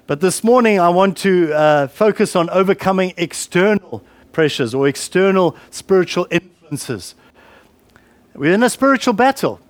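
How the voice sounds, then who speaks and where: A man's voice: high voice, lively intonation, normal speed, no room sound. A man preaching a sermon at church, rambling and conversational with many fillers and asides. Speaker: pastor. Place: church hall. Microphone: handheld mic with a weak battery.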